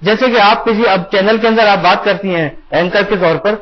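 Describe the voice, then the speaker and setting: High-voiced man explaining on a panel. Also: normal speed. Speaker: cartoonist, panel guest. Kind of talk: explanatory live TV discussion. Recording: dull, muffled TV broadcast audio.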